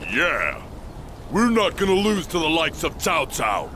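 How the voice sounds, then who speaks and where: An actor playing a cocky, blunt warrior in a video game, with an informal manner. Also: male voice, fairly high pitch, big pitch swings, measured pace, slight background noise, heavily acted. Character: brash, cocky warrior sworn brother. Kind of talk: video game dramatic acting. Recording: voice under loud music.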